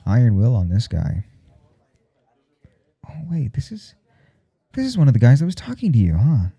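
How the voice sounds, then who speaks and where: Male actor as callous but earnest professor, very dark mellow tone, gravelly, intimate ASMR roleplay, halting and teasing. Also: wildly swooping pitch, heavily acted. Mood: taunting, playful. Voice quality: low, gravelly, soft and dark. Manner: halting, confiding, blunt and profane.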